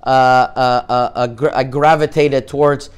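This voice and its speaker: A middle-aged man from Canada, a rabbi giving an informal lecture, talking haltingly with frequent restarts and Hebrew terms woven into his speech. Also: mid-range voice, steady tone, smooth.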